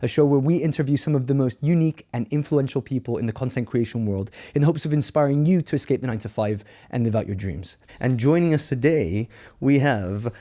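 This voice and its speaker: Male podcast host, relaxed and joking, mid-range voice, stumbles over his words. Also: medium pitch, brisk, few pauses. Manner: chatty, jokey, with fillers and restarts. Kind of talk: casual interview chat.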